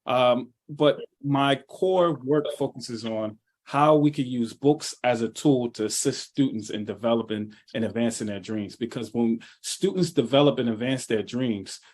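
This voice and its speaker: A man's voice: mid-range voice, a little slow, few pauses, darkish tone. A man from Philadelphia introducing himself on a webinar panel, earnest and stacking phrases in lists. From Philadelphia, USA. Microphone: video call.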